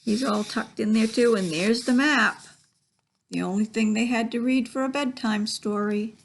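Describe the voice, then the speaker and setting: A woman's voice: fairly high pitch, normal speed, creaky, slight background noise. A woman reading a children's story expressively, with chatty asides to young listeners about the pictures. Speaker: library storytime reader. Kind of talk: picture-book read-aloud with chatty asides.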